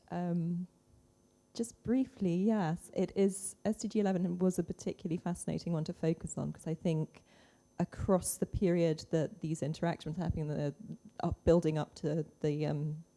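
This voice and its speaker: Female conference panelist, informal delivery, low register with vocal fry. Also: warm tone.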